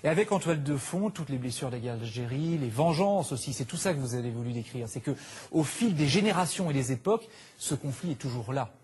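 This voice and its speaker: A man anchoring a news program, presenting a report and interviewing a guest smoothly. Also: mid-range voice, animated, brisk, darkish tone. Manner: smooth presenting, brief hums while listening. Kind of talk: studio interview.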